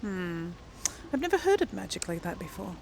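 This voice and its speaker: A woman's voice: medium pitch, sing-song, moderate pace, creaky, darkish tone, a little hiss or hum. A woman doing casual let's play commentary, thinking aloud in an informal, hesitant way. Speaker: gamer, let's play host.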